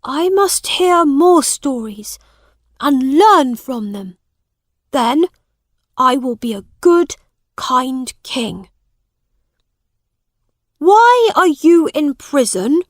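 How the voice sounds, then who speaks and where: Storybook: woman voicing an eager young boy, high and earnest, slow, dry recording. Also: big pitch swings, no room sound, heavily acted.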